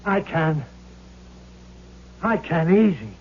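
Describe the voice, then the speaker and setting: An actor playing a jealous, vengeful gangster's ghost with streetwise slangy talk in an old radio drama. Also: male voice, on the high side, very animated, slow, husky, full character voice. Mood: menacing. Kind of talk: 1970s radio drama acting. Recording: muffled old radio broadcast recording.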